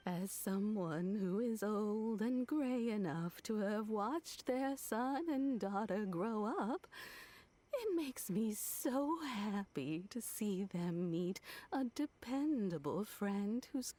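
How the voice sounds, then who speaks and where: An actress playing a kindly old female servant in a video game, polite, affectionate and gently effusive. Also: medium pitch, big pitch swings, slow pace. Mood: tender, nostalgic.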